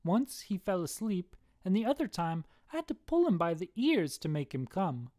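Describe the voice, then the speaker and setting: A woman's voice: lowish voice, sing-song, moderate pace, warm tone, no echo, studio quality, pitched above the performer's own voice. Woman putting on a wry, lilting Irish wife. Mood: wry, pleased.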